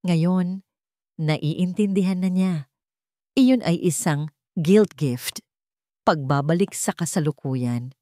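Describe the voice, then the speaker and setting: A woman's voice: lowish voice, slow pace, pauses often, warm tone, no echo, clean studio sound. A woman narrating a scripted dramatic story.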